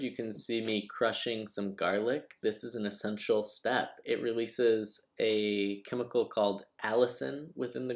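A young man giving a calm, step-by-step cooking lesson as voice-over. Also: on the low side. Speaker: cooking teacher. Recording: distant echoey room with noise, video call.

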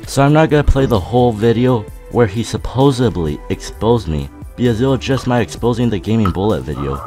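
A teenage boy talks in a steady monotone, deadpan and sarcastic, his voice sitting a bit low. Faint music plays underneath. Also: fairly quick. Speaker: YouTuber. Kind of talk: casual roast vlog narration.

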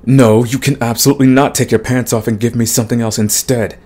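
Man playing a husky, flustered tsundere demon. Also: medium pitch, fairly even intonation, quick pace, a little mellow, natural voice. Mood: flustered, indignant. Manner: grumbling, sighing, stammering protests. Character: flustered tsundere himbo male demon, large, grumpy but soft. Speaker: voice actor. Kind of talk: scripted ASMR romantic comedy roleplay.

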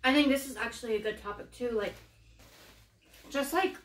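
A woman's voice: on the high side, moderate pace. A woman in her early thirties, co-hosting a casual eating-show chat, candid and full of "you know" and "like". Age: early 30s. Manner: chatty, candid, many "you know" and "like" fillers. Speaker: co-host. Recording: echoey room.

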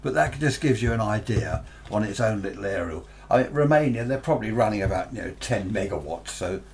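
A man's voice: lowish voice, animated, fairly quick, a little hiss or hum. An older British man giving a casual, rambling, unscripted demonstration, chatting with frequent tag questions. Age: 60s or older. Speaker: presenter reviewing radio gear. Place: small cluttered indoor radio workshop.

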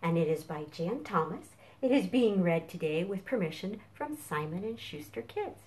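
A woman's voice: fairly low pitch, very animated, normal speed, darkish tone. A middle-aged woman presenting a children's storytime as host, recorded in a home kitchen a few feet from the camera. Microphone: camera a few feet away.

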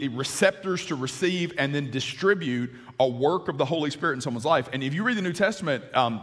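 A man in his forties, a pastor preaching a sermon on a large church stage, animated and gesturing, with frequent restarts. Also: fairly high pitch, slightly rough. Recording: long hall reverb.

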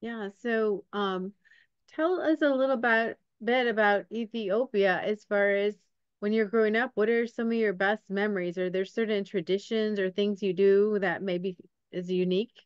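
A woman's voice: medium pitch, fairly even intonation, moderate pace, clean tone, dry recording, muffled recording. A middle-aged woman hosting a podcast interview over a video call, upbeat and reading her guest's bio aloud. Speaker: podcast host.

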